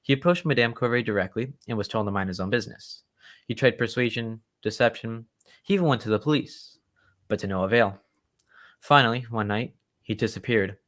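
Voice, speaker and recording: Genteel, old-fashioned gentleman storyteller for a radio play, played by a man, mid-pitched, loyal and excitable. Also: fairly quick, lightly acted. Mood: earnest storytelling. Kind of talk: old-time radio drama acting.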